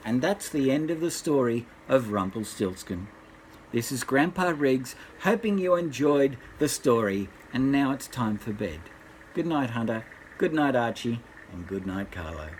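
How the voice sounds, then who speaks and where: A man's voice: medium pitch, lively intonation, measured pace, darkish tone, a little hiss or hum, poor audio. An older man, a grandfather, reads a bedtime story aloud expressively, addressing small children.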